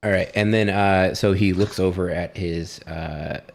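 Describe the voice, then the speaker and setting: A man acting as game master, narrating a tabletop game in a casual, halting, improvised and easily amused way. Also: low voice, fairly even intonation.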